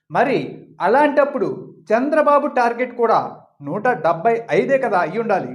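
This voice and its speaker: Guy w a really high voice doing scripted political commentary, emphatic, lots of rhetorical questions. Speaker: political commentator, online channel host.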